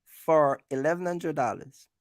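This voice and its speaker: A man's voice: on the high side, measured pace, no room sound, clean studio sound. A man hosting a casual live quiz, teasing the players and repeating himself for emphasis. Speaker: host of a live quiz for his channel. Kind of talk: casual chat, game-show questioning.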